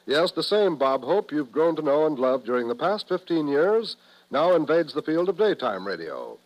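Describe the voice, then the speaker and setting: American guy, old 50s radio announcer, polished sales pitch, voice a bit high. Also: very animated, moderate pace, rough recording. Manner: polished, promotional. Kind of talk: 1950s network radio announcing. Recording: vintage 1950s radio broadcast.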